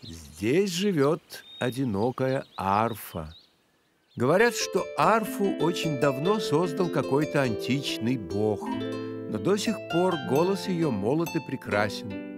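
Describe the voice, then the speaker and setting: Slow, animated gentleman grasshopper guide. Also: mid-range voice. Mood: storytelling. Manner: expressive, measured, courtly. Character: courtly gentleman grasshopper, a learned musical guide. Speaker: narrator and guide. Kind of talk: storytelling cartoon narration for children. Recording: music playing behind the voice.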